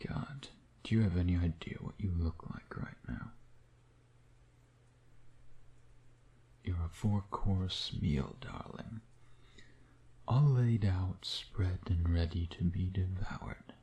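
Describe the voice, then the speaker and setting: A man playing a seductive, taunting vampire in an intimate ASMR roleplay, teasing and menacing, often sinking into breathy whispers. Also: low-pitched, takes it slowly, mellow. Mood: hungry, seductive. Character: seductive, taunting male vampire toying with his hunter nemesis.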